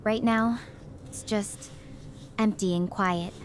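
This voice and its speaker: A woman's voice: mid-range voice, fairly even intonation, slow, lightly acted. Woman playing an earnest stranded researcher, thoughtful and determined, over a noisy recording. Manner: earnest, thoughtful, talking through her reasoning. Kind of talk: conversational audio drama over a video call.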